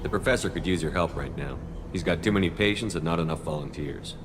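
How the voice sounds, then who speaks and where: A firm, principled commander acted by a man from a video game. He has a low voice and a quick, controlled delivery, with long reverb and music behind him. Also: background noise, natural voice. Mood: reassuring. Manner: firm, plain-spoken, controlled. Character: steady, principled male military commander.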